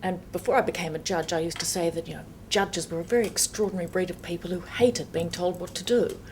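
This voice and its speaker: An older woman, a judge, speaking softly and reflectively in a panel discussion. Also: on the low side, fairly even intonation, quick pace, a little hiss or hum. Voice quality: soft-voiced.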